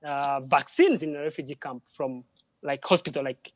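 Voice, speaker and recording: A man from DR Congo, a French speaker, speaking as a panel guest in halting English full of restarts. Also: mid-range voice. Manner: halting, with frequent restarts and repeated words. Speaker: panel guest, drone project worker. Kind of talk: live panel conversation.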